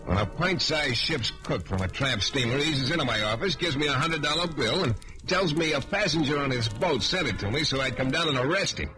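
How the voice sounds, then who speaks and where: Wry hard-boiled detective, swinging delivery. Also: male voice, medium pitch, pushed and bright. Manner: sardonic, colloquial, hard-boiled. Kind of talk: 1940s radio detective acting and narration. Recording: old radio transcription recording.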